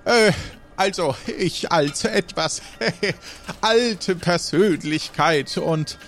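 A man's voice: high-pitched, drawn-out words, fairly bright tone, slight background noise, heavily acted. A man playing a quirky, self-important old pirate instructor in an improvised audio adventure, speaking in a hesitant, rambling, mock-dignified way. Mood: evasive, haggling.

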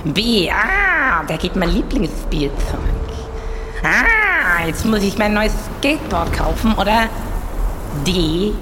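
A woman's voice: mid-range voice, very animated, slow, noisy background. A woman playing an exclaiming, easily baffled villain who sets riddles in an improvised adventure audio play. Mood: gleeful quizzing.

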